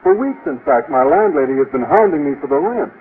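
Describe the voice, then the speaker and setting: A man's voice: a little high, animated, fast talker, natural voice. A man playing a shy, earnest biology teacher, polite and hesitant, in a 1950s radio comedy. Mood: flustered. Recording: tinny old radio broadcast recording.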